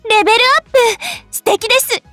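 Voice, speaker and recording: Cheery devout anime game girl, polite and sweet, super high voice, dry studio sound over music. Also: lively intonation, moderate pace, fairly bright tone, no room sound. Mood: cheerful, sweet. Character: cheerful, devout young holy maiden in summer mode. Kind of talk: anime mobile game character lines.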